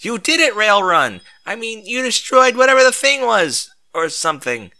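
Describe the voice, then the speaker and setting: Man playing pony bystander, high forceful voice, excitable, blunt, exclaiming, comic fan story. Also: moderate pace, full character voice. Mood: excited, incredulous. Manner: exclaiming, incredulous. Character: random excitable, blunt pony from the street crowd.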